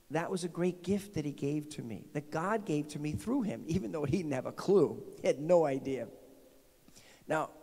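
A man's voice: fairly high pitch, warm tone. A middle-aged man with a New Jersey accent, preaching a conversational homily as a pastor and often asking the congregation questions. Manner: informal, repetitive restarts, asks the congregation questions. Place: large decorated church sanctuary. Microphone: handheld microphone. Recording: long church reverb.

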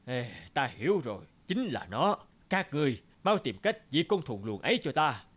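A man playing a stern but fair royal judge in a cartoon folktale, speaking with deliberate authority. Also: mid-range voice, very animated, fairly bright tone, breathy edge. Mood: decisive. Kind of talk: animated folktale acting.

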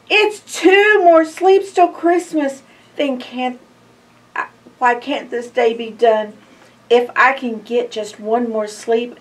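Older woman reading slowly to children. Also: a little high, distant mic. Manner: expressive, drawn-out phrases with hushed asides and questions to listeners. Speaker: library storytime reader. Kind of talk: animated picture-book read-aloud to young children. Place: indoor library in front of bookshelves.

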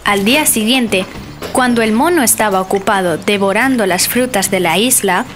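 A woman narrating a children's bedtime story in a gentle, clear storytelling manner. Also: medium pitch, moderate pace. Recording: narration over music.

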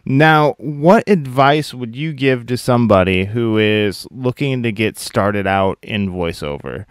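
A man hosting a podcast interview, upbeat and friendly, giving quick affirmations. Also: medium pitch, expressive, clean tone, no room sound, clean studio sound. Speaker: podcast host. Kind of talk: casual interview chat.

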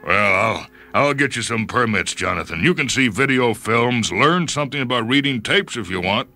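A man's voice: mid-range voice, lively intonation, normal speed, sharp tone, voice pushed up. A man voicing a wealthy, weary executive of sixty, probing and patronizing, in a radio story. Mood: conciliatory. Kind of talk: radio short-story dramatization.

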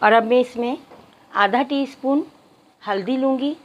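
A woman's voice: fairly high pitch, measured pace, highs cut off. A woman narrating a cooking tutorial step by step, addressing viewers politely. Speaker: cooking channel host.

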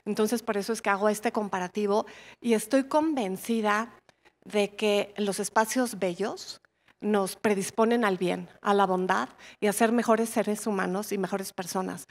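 A woman's voice: mid-range voice, steady tone, moderate pace. A woman, an interior designer speaking earnestly and reflectively on a conference panel. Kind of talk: panel talk.

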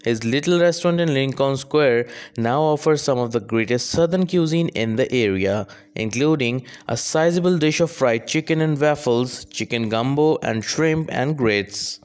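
A man narrating a scripted restaurant countdown in an even, measured reading with odd phrasing. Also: mid-range voice, smooth.

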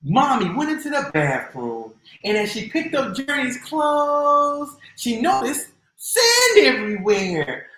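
An American man in his thirties reading aloud to young children as a storyteller, with a theatrical, drawn-out, exclaiming delivery. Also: very high voice, bright, forceful tone. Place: small indoor room. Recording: roomy echo.

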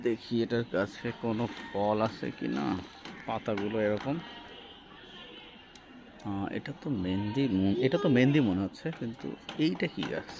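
A man's voice: on the low side, moderate pace, pauses often. A man with a Bangladeshi accent chatting casually as a vlogger, musing aloud and asking questions while filming outdoors on a handheld phone. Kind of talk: casual spoken commentary while filming plants. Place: outdoors on a rooftop garden. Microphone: handheld phone behind the camera. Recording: phone recording with clear outdoor ambient noise.

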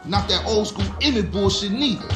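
An actor playing a brash, taunting young gangster who talks in street slang. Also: male voice, high voice, fairly quick, reverberant, soft background music, close to an everyday voice. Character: pushy, mocking young gangster man.

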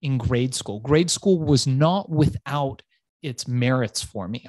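A man from Iran, a native Farsi speaker, tells his story with swagger and blunt profanity. His pitch sits at a middle level, and the recording is dry, with no room sound. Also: darkish tone, no room sound. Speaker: entrepreneur guest. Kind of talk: storytelling interview.

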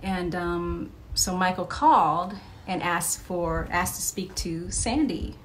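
A woman's voice: fairly low pitch, slow, a little hiss or hum, poor audio. An American woman chatting casually as a podcast guest, telling anecdotes and acting out what people said. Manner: chatty, often laughing, acts out quoted dialogue. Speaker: podcast guest, memoir author. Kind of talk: casual anecdotal storytelling in an interview. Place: wood-panelled room in a house, indoors. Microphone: studio condenser mic up close.

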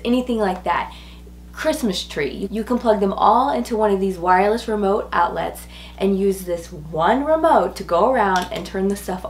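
A woman in her forties with a slightly hoarse voice, chatting enthusiastically to viewers as she reviews home products. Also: mid-range voice, a little hiss or hum. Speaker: home decor vlogger. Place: small furnished rooms at home, indoors. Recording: roomy echo.

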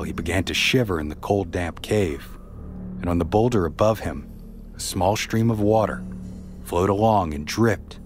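A man narrating a scripted true story as a podcast host, in a measured, somber way. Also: deep voice.

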